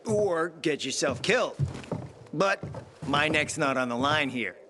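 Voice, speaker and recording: A man's voice: on the high side, lively intonation, measured pace, background noise. An actor playing a sardonic male companion who mocks everyone around him. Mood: sarcastic. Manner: sarcastic, mocking. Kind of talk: video game cutscene.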